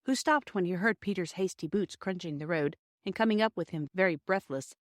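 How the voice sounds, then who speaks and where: A woman's voice: lowish voice, a little fast, dry recording. Woman narrating a storybook, very dry sound. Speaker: audiobook reader.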